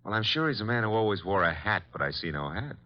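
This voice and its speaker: An actor playing a brisk, wisecracking American detective's assistant in an old-time radio drama. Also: male voice, lowish voice, big pitch swings. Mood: hard-boiled. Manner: breezy, hard-boiled, questioning. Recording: dull, lo-fi old radio recording.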